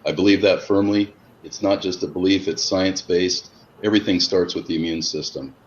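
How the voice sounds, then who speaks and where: Low-voiced man in his fifties, muffled audio. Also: steady tone, brisk. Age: 50s. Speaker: host. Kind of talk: casual video interview. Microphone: video call. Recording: dull lo-fi audio with background noise.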